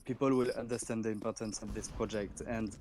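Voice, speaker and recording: A man from an auction house explaining a sale in a live audio chat, halting with many fillers and restarts. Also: on the low side, level tone, a crowd in the background. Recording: noisy stream audio.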